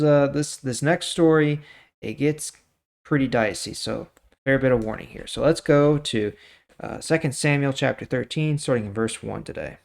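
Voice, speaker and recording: A man with a medium-pitched voice hosts a scripture reading series. He reads in a storytelling way and adds informal, explanatory remarks in a casual manner. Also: creaky. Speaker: host of a daily Bible reading series.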